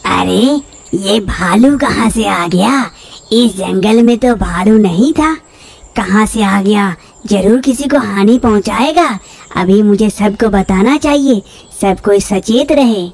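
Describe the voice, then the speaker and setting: A woman's voice: medium pitch, slow, vocal fry, a little mellow. A woman voicing a watchful male lion who protects the jungle in a children's story, exclaiming with concern. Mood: alarmed.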